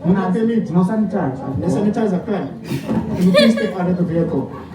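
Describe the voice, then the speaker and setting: Man acting South African roadblock character, Zulu speaker, high voice, news skit, echoey, off mic. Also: expressive, fast, a loud crowd. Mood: brisk. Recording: echoey, distant played news clip.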